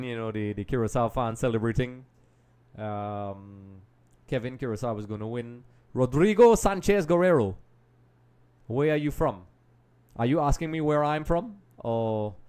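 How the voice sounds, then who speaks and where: Man, live stream host, chatty football analysis, says "um" and "folks", medium pitch. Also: a little mellow, smooth. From Trinidad and Tobago. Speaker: livestream host. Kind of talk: live football watch-along commentary and chat reading.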